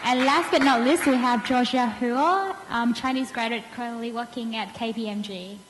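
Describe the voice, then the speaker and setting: A woman's voice: on the high side, normal speed, a loud crowd. A woman moderating a student panel, introducing each speaker with small stumbles and restarts. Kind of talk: introducing panelists at a live event. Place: event hall. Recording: noisy reverberant hall.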